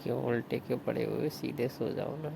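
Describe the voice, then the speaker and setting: A man playing a resigned, flirty brother-in-law, talking in a low-key, gravelly voice on an intimate late-night phone call. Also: steady tone, a little fast. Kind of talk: intimate staged phone-call acting in casual Hindi. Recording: noisy phone-call recording.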